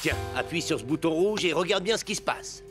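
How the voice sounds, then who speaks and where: A man plays a friendly cartoon scrapyard operator. His voice is high and he explains things eagerly, over loud music. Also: animated, brisk, lightly acted. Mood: instructive, eager. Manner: explanatory, instructing. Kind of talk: French animated cartoon dialogue. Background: loud background music.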